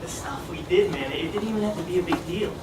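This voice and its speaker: An actor playing a breezy, needling teenage best friend back from the dead in a stage play, talking in casual slang. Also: male voice, high voice, fast talker, close to an everyday voice. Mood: nostalgic, upbeat. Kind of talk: live stage play acting. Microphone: far camera. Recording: distant, echoey theatre recording with noise.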